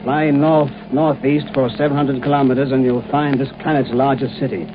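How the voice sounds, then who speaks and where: A man's voice: medium pitch, little pitch movement, normal speed, close to an everyday voice. A man playing an earnest, idealistic scientist professor in an American 1950s radio drama. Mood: explanatory. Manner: hesitant, pleading, earnest. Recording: tinny 1950s radio, faint noise.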